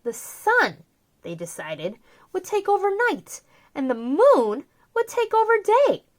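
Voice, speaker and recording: A woman reading a children's picture book aloud with theatrical, drawn-out emphasis on key words. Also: high voice, huge pitch swings. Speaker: children's storyteller, host.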